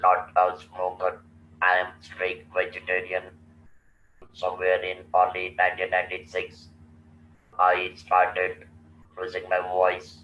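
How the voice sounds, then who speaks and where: Slow, flat, low man in his 60s. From India. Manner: slow, deliberate, halting with restarts. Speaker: guest. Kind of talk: slow personal storytelling. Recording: roomy, distant, muffled with faint noise.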